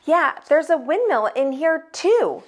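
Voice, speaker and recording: Lady doing a cautious nerdy young boy from a kids' storybook, urgent, high voice that jumps around. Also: big pitch swings, takes it slowly, heavily acted. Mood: absorbed, excited. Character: studious, cautious young boy note-taker. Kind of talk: children's storybook read-aloud.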